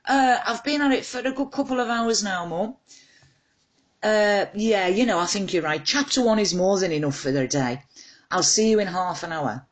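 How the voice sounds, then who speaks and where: A woman's voice: mid-range voice, lively intonation, normal speed, voice pushed up, natural voice. A woman voicing a scatterbrained, easily distracted woman writer in a short story, chattering to herself in a flustered way. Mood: bright, evasive. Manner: chatty, flustered self-talk. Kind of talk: short story character dialogue.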